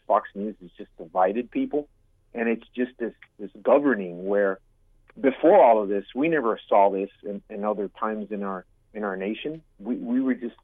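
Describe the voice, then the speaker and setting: A man born in Cuba, calling in to a radio show, speaking in an earnest, halting way that often repeats itself. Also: on the low side, husky, dry recording. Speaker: caller. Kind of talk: call-in over the phone. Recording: tinny phone line.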